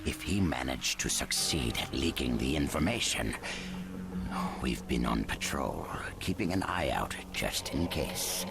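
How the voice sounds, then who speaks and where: An actor playing a stern, scornful old village leader in a dramatic video game dub, with a gravelly, commanding voice. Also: male voice, a bass voice, expressive, a little slow, background noise, full character voice. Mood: grim. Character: stern gruff old male village leader, a seasoned ninja. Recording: loud music under the voice.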